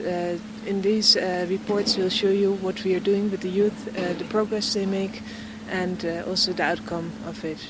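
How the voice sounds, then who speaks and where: A woman, a project founder speaking earnestly in a documentary interview and pausing often to find words. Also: mid-range voice. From Netherlands. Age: 20s. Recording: outdoor street noise with passing traffic.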